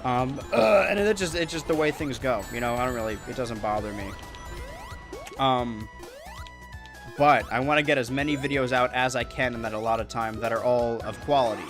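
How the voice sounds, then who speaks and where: Young man, American accent, monotone, rambling stream chat, game music behind. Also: mid-range voice, normal speed. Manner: rambling, self-deprecating, many restarts. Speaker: streamer playing a video game. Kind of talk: casual gameplay chat.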